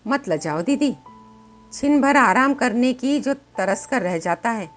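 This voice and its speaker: A woman's voice: on the high side, lively intonation, takes it slowly, faint music behind. A woman voicing overworked village housewives in a story, grumbling and complaining in a gossipy manner.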